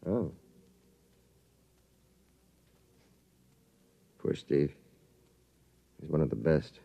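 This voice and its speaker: A calm, curious military doctor, speaking in a deep voice. He is measured and reassuring. The sound is dull, from a 1950s radio play. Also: vocal fry, slightly rough, full character voice. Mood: sober. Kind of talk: 1950s radio drama acting. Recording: muffled 1950s radio recording.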